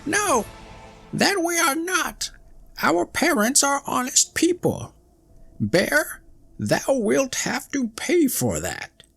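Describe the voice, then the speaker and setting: Shrill furious baby wrens. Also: male voice, huge pitch swings, takes it slowly, vocal fry, fairly bright tone, husky, dry recording, soft background music, full character voice. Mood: outraged. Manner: high squealing outraged cries.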